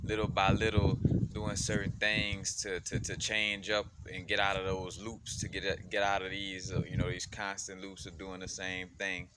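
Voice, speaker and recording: A man's voice: sits low, completely flat delivery, normal speed. A man from Louisiana in a casual, rambling vlog monologue, full of fillers and laid-back slang. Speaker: spiritual vlogger. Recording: loud background noise.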